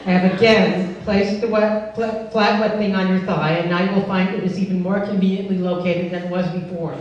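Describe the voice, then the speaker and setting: A woman's voice: medium pitch, steady tone, brisk, darkish tone, highs cut off, natural voice. A woman voicing an obsessive, pushy, self-centred dog with pompous, insistent phrasing in a live comic story reading. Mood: earnest, coaxing. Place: live benefit event before a laughing audience. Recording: echoey noisy hall, far from mic.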